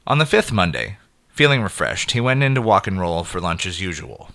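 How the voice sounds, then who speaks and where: A man narrating a scripted short story in an expressive, measured way with clipped phrases. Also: low voice, vocal fry.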